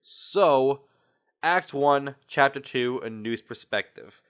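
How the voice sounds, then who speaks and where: A man's voice: medium pitch, slow pace, fairly bright tone, smooth. A man giving casual let's play commentary, rambling and often rephrasing as he loses his thread. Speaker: gaming video host. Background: music.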